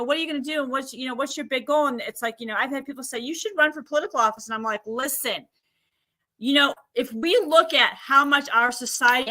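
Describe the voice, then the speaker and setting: A woman guest on a talk show, chatting in an animated way and acting out what people say. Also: high voice. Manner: animated, acts out quoted speech. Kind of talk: casual chat.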